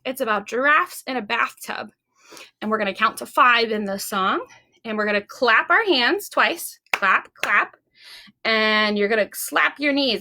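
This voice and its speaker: A woman's voice: high voice, gravelly, bright, forceful tone. A woman hosting a children's storytime, reading aloud expressively and speaking simply to young listeners. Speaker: library storytime host. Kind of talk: children's picture-book read-aloud.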